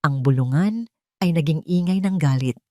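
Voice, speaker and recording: A woman telling a first-person story as a scripted drama, with an emotive and deliberate delivery. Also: low-pitched, darkish tone, no room sound, studio quality. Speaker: audio story narrator.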